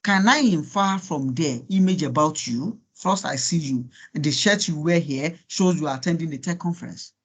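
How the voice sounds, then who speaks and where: A man with a high voice and a Nigerian accent, a native Yoruba speaker. He coaches in an informal, encouraging way, often repeating himself and putting rhetorical questions to his listeners. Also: normal speed, hardly any pauses. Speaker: guest speaker coaching students on LinkedIn profiles. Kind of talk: informal online workshop coaching.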